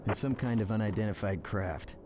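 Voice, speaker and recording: Man playing low-voiced, terse military captain. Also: fairly quick, music in the background, natural voice. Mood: businesslike. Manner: terse, dismissive. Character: gruff, weary military captain leading a recon team. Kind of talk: video game voice acting. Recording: loud rumbling storm noise.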